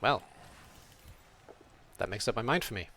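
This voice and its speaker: A man's voice: on the low side, expressive, a little slow. Welsh-born British man streaming a game. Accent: British with a slight European tilt. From Wales. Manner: chatty, reads chat aloud, thinks out loud. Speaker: streamer playing a video game. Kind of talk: casual live-stream commentary.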